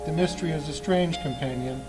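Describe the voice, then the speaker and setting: A man playing an ominous radio mystery announcer, narrating slowly and portentously beneath loud music. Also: fairly high pitch, lightly acted, low-quality recording. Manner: slow, portentous. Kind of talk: old-time radio drama narration.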